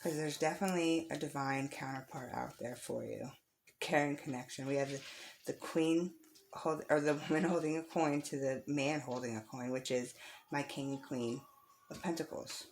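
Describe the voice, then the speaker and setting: A woman's voice: extremely low pitch, fairly even intonation, moderate pace, darkish tone, a little hiss or hum. A woman reading tarot cards casually for the viewer, encouraging and reassuring, repeating phrases for emphasis. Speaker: tarot reader. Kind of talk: casual spiritual card reading, addressed to the viewer.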